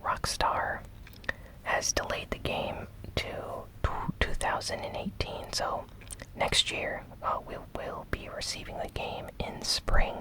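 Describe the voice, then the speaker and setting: A host whispering a gaming news recap in a gentle, deliberate, breathy ASMR style. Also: rough recording. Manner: slow, gentle, deliberate delivery with short phrases.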